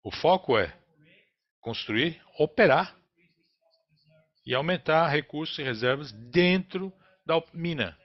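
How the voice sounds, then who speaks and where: A man with a Brazilian accent interprets a business earnings call live, in a halting, phrase-by-phrase delivery. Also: fairly high pitch, expressive. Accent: Brazilian Portuguese. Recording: dull, lo-fi conference call audio.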